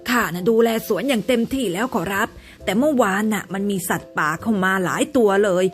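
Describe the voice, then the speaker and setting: A meek male gardener servant, as a woman puts him on, answering his master slowly and humbly. A simple storybook servant voice. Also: medium pitch, animated, soft background music, natural voice. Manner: deferential, drawn-out.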